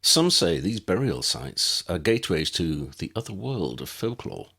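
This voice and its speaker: A man's voice: low-pitched, very animated, a little slow, sharp tone, slightly rough, full character voice. A man voicing a cool, cautious, matter-of-fact young man in an audiobook. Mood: hushed, dismissive. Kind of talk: audiobook character voice.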